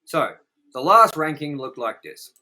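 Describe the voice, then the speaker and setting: A man's voice: on the high side, very animated, measured pace, sharp tone. A man co-hosting a casual, opinionated film review chat, speaking in a blunt, sweary, exaggerating way. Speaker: co-host of a movie review show.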